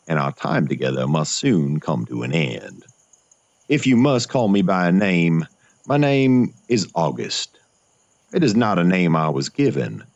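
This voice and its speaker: A man's voice: low-pitched, vocal fry, no echo, lo-fi sound, exaggerated voice. A man playing a long-lived, solemn, gracious storyteller narrating a gothic horror tale for a fiction podcast. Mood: gracious, wistful. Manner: folksy, formal, courteous address.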